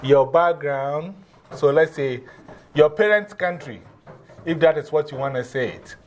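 Male teacher, Ghanaian accent, high voice, directive and repetitive, informal classroom delivery, faint noise behind. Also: a little slow, lo-fi sound. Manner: directive, repeats instructions. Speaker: teacher of a drumming and English class.

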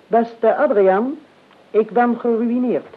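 An actress in a Dutch radio play, playing an imperious, blunt older aristocratic aunt who speaks formally and with dry restraint. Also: female voice, fairly high pitch, takes it slowly. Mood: stern, businesslike. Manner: formal, crisp, deadpan. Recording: dull lo-fi radio recording.

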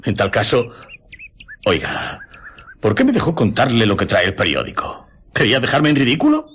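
An actor playing a talkative, excitable, easily offended sailor in a radio drama, with a husky voice and a Castilian Spanish accent. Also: male voice, medium pitch, normal speed, full character voice. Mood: indignant. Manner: emphatic, indignant when crossed.

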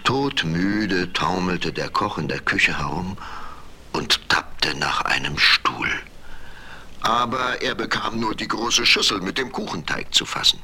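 Sehr tiefer, scharfer Märchenerzähler, lebhaft betont. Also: male voice, deep voice, lively intonation, sharp tone, slight background noise. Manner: theatrical, measured storytelling. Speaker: narrator. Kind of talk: dramatic scripted fairy-tale narration.

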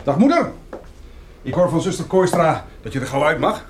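A short-tempered young farmer in a radio play. His fairly high voice jumps about in clipped, offhand replies, over clearly audible recording noise. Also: sing-song, moderate pace. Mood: brisk, offhand. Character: brusque young farmer son, short-tempered but dutiful. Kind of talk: radio drama dialogue.

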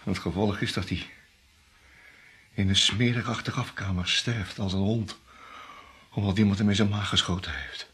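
Actor as forceful, grim, low-voiced man. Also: fairly even intonation, normal speed, long pauses, lightly acted. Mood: bitter, grieving. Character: grim, determined man urgently questioning his dying friend. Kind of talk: radio drama acting.

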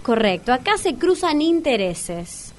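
A woman's voice: sits high, sing-song, unhurried. An actress playing a slick, officious, money-minded Argentine dating agency woman in a comic radio play, wordy and businesslike. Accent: Argentine, Rioplatense.

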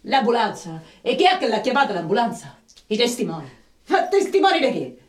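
A placating Roman woman in a radio drama. Her high voice has a colloquial Roman accent and a coaxing, defensive tone, heard in an echoey room. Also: very animated, fairly quick. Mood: worried, calming. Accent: Roman Italian. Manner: colloquial, coaxing, ends in tag questions.

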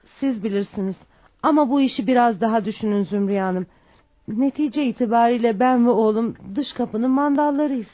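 High, mellow-voiced worried mother, played by a woman. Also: a little fast, close to an everyday voice, poor audio. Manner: cautious, earnest. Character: humble, proud, worried mother of a poor family. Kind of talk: radio drama acting.

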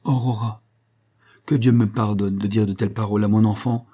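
A man voicing a gentle, anxious old banker who dotes on his daughter, in an audiobook story. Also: medium pitch, moderate pace, breathy edge, voice pushed up, close to an everyday voice. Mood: firm, pained. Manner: tender, earnest, pleading.

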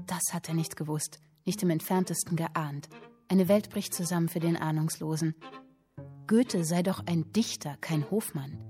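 An actress playing a captive woman who reads literary prose aloud in a radio drama, earnest, expressive and deliberate. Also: deep voice, soft background music, lightly acted. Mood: earnest narration.

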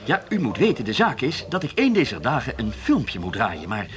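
A man's voice: mid-range voice, wildly swooping pitch, fairly quick, fairly bright tone. An actor playing a pompous, fussy film director in a comic radio play, hesitating and sprinkling his talk with French phrases. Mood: self-important.

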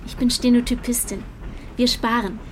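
A woman's voice: a little high, steady tone, normal speed, a little hiss or hum, natural voice, rough recording. An actress playing a young working woman in an audio drama, plain-spoken, guarded and defensive. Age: young adult. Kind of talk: German audio drama acting.

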